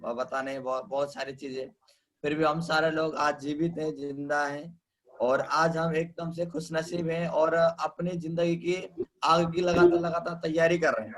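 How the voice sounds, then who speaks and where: A man speaking up in an online meeting, formal in tone and halting at times with fillers. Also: fairly high pitch, fairly bright tone, low-quality recording. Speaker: meeting participant.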